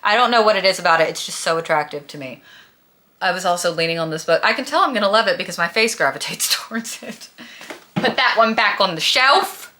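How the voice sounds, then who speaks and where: A woman's voice: lowish voice, expressive, brisk, sharp tone. A young adult woman chatting casually and emphatically to camera as a book vlogger, with a gushing manner. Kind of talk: casual chat about books to camera.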